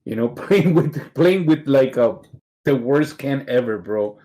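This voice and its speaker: A man's voice: mid-range voice, lively intonation, unhurried, mellow. A middle-aged man from Mexico with a Mexican accent, guesting on a podcast and telling stories casually, swearing freely and laughing easily. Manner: laid-back, swears constantly, laughs easily. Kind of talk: casual storytelling interview.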